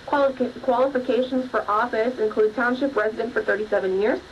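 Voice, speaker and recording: American woman, higher voice, plain read-aloud, occasional stumbles, dull radio speaker sound. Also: steady tone, a little fast. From northeast Ohio. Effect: played through a radio speaker. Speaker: newspaper reader on a radio reading service. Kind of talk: reading aloud with informal asides. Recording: dull, distant radio speaker, faint noise.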